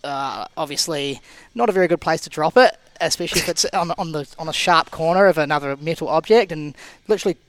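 A man in his thirties, a guest telling stories in a relaxed, rambling way with frequent restarts. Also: fairly high pitch, quick pace, no room sound. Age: 30s. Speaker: guest, CrossFit athlete and coach. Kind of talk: casual podcast interview.

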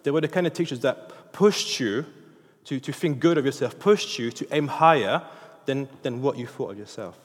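A man in his thirties, French by birth, preaches from the front of a church hall. He talks informally, with plenty of fillers and restarts, at an ordinary middle pitch. A long reverb from the hall trails behind his voice. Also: moderate pace. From France. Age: 30s. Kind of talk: sermon.

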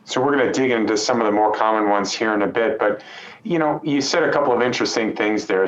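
Host casting: middle-aged man, chatty and friendly, recorded in a roomy space with dull audio and faint ambience. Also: medium pitch. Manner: friendly, conversational, uses "you know" and quick asides. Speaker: podcast host, surgeon. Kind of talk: hosting a medical podcast interview.

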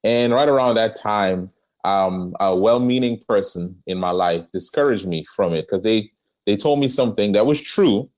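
A man with a Trinidadian accent, a pastor telling his life story as a podcast guest, with many pauses. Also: on the low side, darkish tone, highs cut off. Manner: earnest storytelling with frequent "um" pauses. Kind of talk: conversational interview.

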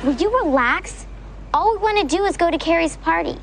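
Wisecracking preteen girl, very high voice, scheming, TV sitcom, background noise. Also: expressive, fairly quick, gravelly, exaggerated voice. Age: young girl, preteen. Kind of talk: sitcom acting with live audience. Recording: noisy TV sitcom with studio audience.

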